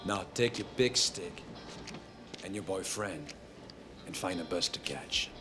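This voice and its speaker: Deep-voiced tough drifter hero. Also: male voice, lively intonation, measured pace, pushed and bright, heavily acted. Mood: curt, dismissive. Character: tough drifter action hero, curtly dismissive. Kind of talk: action film dialogue. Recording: film clip with music underneath.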